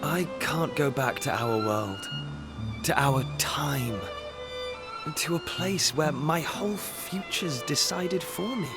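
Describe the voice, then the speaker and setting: An actor playing an earnest, spirited young runaway prince turned guardian, in an expressive video game performance. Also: male voice, fairly high pitch, slow pace. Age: young adult. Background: orchestral music.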